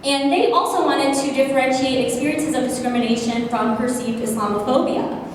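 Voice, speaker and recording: A woman gives a conference talk on her research in a slightly high voice, earnest and full of fillers. She is picked up from a distance in a big reverberant hall with a clear noise bed behind her. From United States. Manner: earnest, many fillers, self-aware about loud voice. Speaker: conference speaker presenting research. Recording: echoey hall from far off, noisy.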